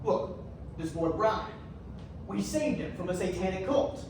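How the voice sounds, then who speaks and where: A man's voice: high voice, animated, a little slow. A man playing a zealous, accusatory investigator in a readers theatre stage performance. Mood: insistent. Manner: accusatory, insistent. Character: zealous, threatening male investigator hunting satanic cults. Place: large echoey indoor room, distant mic. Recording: echoey hall from far off, noisy.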